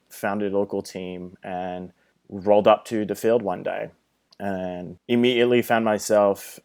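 A man in his thirties from France with a French accent, telling a personal story as a podcast guest. He is thoughtful and earnest and often pauses with ums and restarts. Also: deep voice, a little mellow, smooth. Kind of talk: storytelling in a casual podcast chat.

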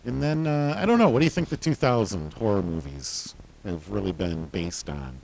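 A man co-hosting a casual podcast. His voice is full, gravelly and carries loudly, and his pitch moves around a lot as he talks. He laughs easily. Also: moderate pace, rough recording. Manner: loud, carrying voice; animated, laughs often. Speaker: podcast co-host. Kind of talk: casual chat.

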